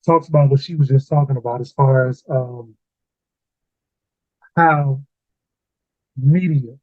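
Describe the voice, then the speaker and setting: A man's voice: medium pitch, takes it slowly, no room sound, highs cut off. A man hosting a Bible read-along in casual, informal short phrases, heard through a thin home stream mic. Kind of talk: casual conversational discussion.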